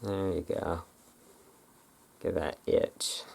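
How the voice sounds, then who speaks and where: ASMR host, deep pitch with heavy fry; casual, halting roleplay chatter. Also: fairly even intonation, a little mellow. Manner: rambling, halting, informal.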